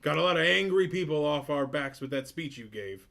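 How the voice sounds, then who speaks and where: A man's voice: fairly high pitch, animated, fairly quick, gravelly, a bit bright. A man playing a curt, self-important, skeptical mayor in a sitcom table read, speaking in a formal, clipped manner.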